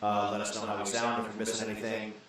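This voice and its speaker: A man's voice: on the low side, monotone, quick pace, a bit bright. A man co-hosting a casual radio talk show with a teasing, joking manner. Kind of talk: casual chat. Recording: very echoey room.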